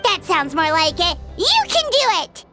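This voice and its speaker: A woman voicing a tiny, excitable, loyal fairy companion in a game, with a squeaky childlike voice and chirpy, protective manner. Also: unhurried, over music, heavily acted. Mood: concerned cheering. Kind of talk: animated game cutscene dubbing.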